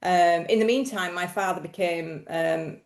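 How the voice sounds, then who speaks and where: A woman's voice: on the low side, a little slow. A woman from England, explaining her field earnestly as a podcast guest. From Northern England. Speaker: guest expert. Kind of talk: podcast interview. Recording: roomy echo.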